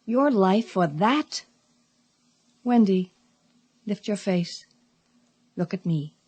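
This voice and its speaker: An actress playing a devoted, ambitious middle-aged wife in a radio drama, husky and emphatic. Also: female voice, medium pitch, expressive, slow pace, darkish tone, dry recording. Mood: fierce, insistent. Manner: emphatic, theatrical delivery.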